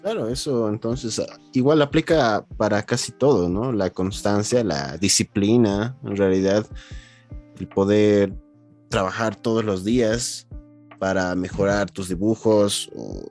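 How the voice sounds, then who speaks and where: A man's voice: fairly low pitch, measured pace, darkish tone. A man interviewing as a podcast host, affirming his guest and feeling his way through wordy sentences. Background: faint background music.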